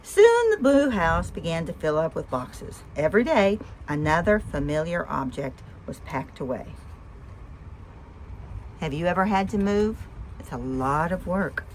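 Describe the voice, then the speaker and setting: A woman with a fairly low voice reads a children's picture book aloud. Her pitch moves widely as she tells the story and puts questions to young listeners. There is faint-to-clear noise behind her. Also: sing-song, measured pace, rough recording. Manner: asks listeners simple questions between story lines. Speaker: storyteller and host of a read-aloud show.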